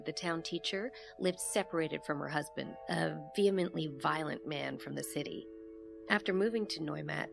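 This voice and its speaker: A woman acting as an investigator who narrates a mysterious case in a measured first-person audio drama. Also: low voice, gravelly.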